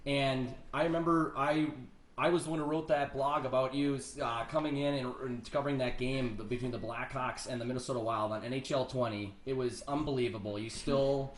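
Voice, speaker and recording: A man's voice: mid-range voice, fairly even intonation, brisk. A man co-hosting a casual sports chat, recorded with a roomy echo. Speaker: podcast co-host. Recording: roomy echo, faint background noise.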